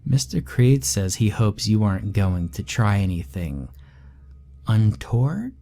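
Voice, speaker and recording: A man reading a horror story and voicing an eerie little girl who is sweet, childish and quietly menacing. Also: on the low side, sing-song, measured pace, vocal fry, full character voice. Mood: sing-song. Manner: sing-song, childish and sweetly threatening.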